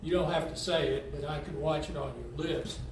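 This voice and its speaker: A man's voice: a little high, steady tone, a little fast, a little hiss or hum. A man from Texas giving a folksy, conversational sermon as a visiting lay preacher, asking the congregation questions as he goes. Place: church sanctuary. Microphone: standing away from the mic. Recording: very echoey church hall, mic far off.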